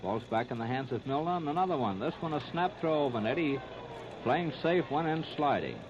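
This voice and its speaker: A man's voice: medium pitch, animated, brisk. An American man calling a baseball game play by play in 1930s radio style. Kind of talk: 1930s radio sports commentary. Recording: scratchy, muffled 1939 radio disc recording.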